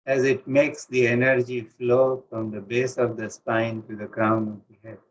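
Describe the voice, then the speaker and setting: A man with an Indian accent teaches meditation in a medium-pitched voice. He gives calm, deliberate instructions and leaves space between phrases. The recording is dull and low in fidelity, like online session audio. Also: slight background noise.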